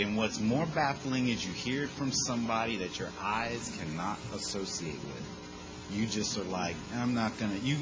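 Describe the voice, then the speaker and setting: A man with a fairly low voice tells a funny personal story to a group. He talks in a lively, chatty way, full of quick asides. Music plays clearly behind him. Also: rough recording. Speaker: speaker sharing his recovery story.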